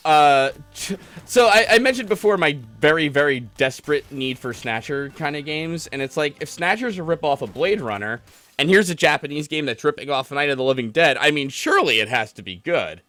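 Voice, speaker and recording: A man's voice: fairly high pitch, expressive, fairly quick, bright, forceful tone. A man hosting a gaming stream, talking in a casual, conversational way. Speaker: stream host. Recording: music playing behind the talk. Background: music.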